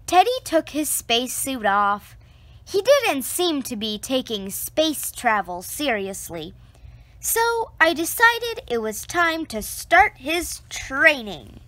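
Lively, slow-reading woman storyteller. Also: high-pitched, no echo. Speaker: picture book reader. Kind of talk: reading a children's book aloud.

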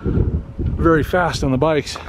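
Chatty man vlogging outdoors, slightly high. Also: fairly even intonation, slow pace. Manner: chatty, slightly winded from hiking. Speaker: travel vlogger. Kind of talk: casual outdoor vlog narration. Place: outdoors in open desert. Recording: noisy outdoor recording.

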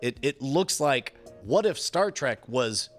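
A man's voice: mid-range voice, lively intonation, takes it slowly. Hesitant man musing with faint music. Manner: hesitant, many fillers, wry. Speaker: podcast co-host. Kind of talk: casual chat.

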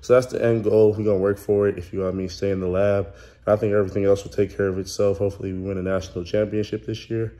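A young man vlogging casually to his phone in a hotel room, with a relaxed slangy delivery full of ums. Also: lowish voice, rapid-fire. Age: young adult. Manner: laid-back slangy talk with many ums. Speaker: college basketball player vlogger. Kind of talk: casual vlog to camera. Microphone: phone held at arm's length.

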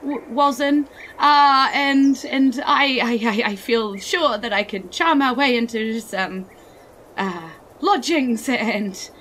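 A woman as a flustered, stammering bird, high and bright. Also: slow pace, a little hiss or hum. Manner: stammering, polite, hesitant. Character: flustered, self-important male bird who thinks himself charming.

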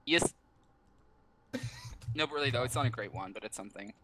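A man's voice: medium pitch, fairly quick. A man playing a multiplayer game and bantering casually over a voice call. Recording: noisy mic with clicks.

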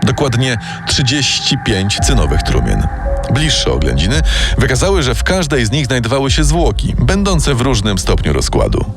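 A man's voice: mid-range voice, very animated, normal speed. A man giving scripted true-crime narration as a dramatic storyteller. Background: music bed.

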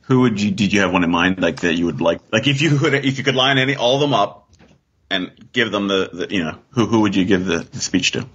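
Male podcast co-host, low raspy voice, relaxed conversational fan talk, muffled lo-fi recording. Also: fairly quick. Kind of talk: casual pop-culture discussion.

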